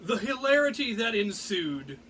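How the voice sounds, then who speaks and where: A man with a high-pitched, bright voice spins a personal story. His delivery is animated and theatrical, with a grandiose flair. There is faint noise behind him. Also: a little slow. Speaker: storyteller and host.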